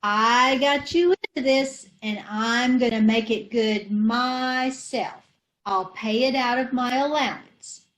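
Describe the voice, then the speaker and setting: Woman as scatterbrained wife, syrupy and slow; 1930s radio comedy. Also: fairly high pitch, fairly bright tone, rough recording. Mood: sweetly dotty. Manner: slow, dotty, syrupy sweet. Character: scatterbrained sweet-talking wife Jane. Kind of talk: amateur old-time radio play reading.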